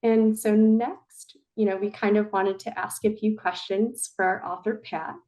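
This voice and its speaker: A woman serves as host of an interview and puts questions to a guest. She speaks in a friendly, plain way at a mid-range pitch. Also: fairly even intonation, unhurried, warm tone, rough recording. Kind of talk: hosting an interview.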